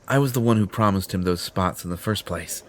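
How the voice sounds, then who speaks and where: A man's voice: deep voice, brisk, fairly bright tone, slight background noise. A man playing a smug, possessive, entitled superhero in an audio roleplay, pompous and condescending in manner. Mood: smug, coaxing. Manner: pompous, self-satisfied, condescending. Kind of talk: audio roleplay acting addressed to the listener.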